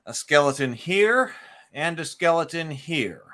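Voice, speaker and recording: A man's voice: fairly high pitch, animated, a little slow, pushed and bright. A man hosting a solo board game session, talking casually through his moves and dice counts as he thinks aloud. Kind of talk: casual live play-by-play with narrated moves.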